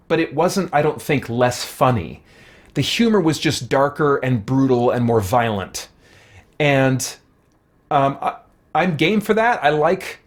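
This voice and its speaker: A man giving casual, rambling gaming commentary, thinking aloud in a thoughtful, halting way. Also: mid-range voice. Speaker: host of a roleplay game playthrough.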